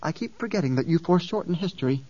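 A man's voice: a little high, expressive, quick pace, warm tone, dry recording, pitched above the performer's own voice. A man voicing a fierce young girl who carries ancient memories, speaking with grave intensity in an audiobook. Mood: cold resolve. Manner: intense, grave, sometimes pleading. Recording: dull, distant, dry sound.

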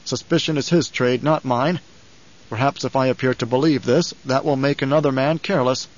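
A man's voice: mid-range voice, steady tone, brisk, muffled recording, close to an everyday voice. A man voicing a proud, stern and weary nobleman in an audiobook, with an authoritative, clipped delivery. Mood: brooding.

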